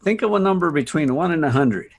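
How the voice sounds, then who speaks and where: A man presenting on a video call, explaining casually and haltingly as he thinks aloud. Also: on the high side, expressive.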